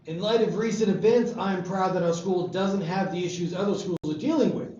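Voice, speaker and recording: A man playing an upbeat, self-satisfied high school principal reading morning announcements at a table read. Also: brisk, warm tone, a little hiss or hum, close to an everyday voice. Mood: peppy, proud. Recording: dull echoey room.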